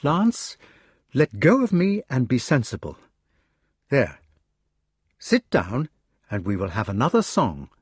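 A man voicing a sensible, sharp-tempered noblewoman, no longer young, firmly reproving her lover in an audiobook. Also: mid-range voice, huge pitch swings, slow pace, pauses often, husky, no room sound, studio quality, pitched above the performer's own voice, exaggerated voice. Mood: plaintive, weary. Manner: firm, reproving, plaintive. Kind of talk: audiobook character dialogue.

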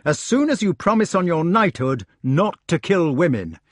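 A man's voice: on the high side, sing-song, normal speed, no echo, clean studio sound, voice pushed up. A righteous young knight, acted by a male narrator, speaking firmly with raised emphasis. He is a chivalrous storybook hero, stern, admonishing and a little exasperated. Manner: firm, admonishing. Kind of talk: audiobook character voice.

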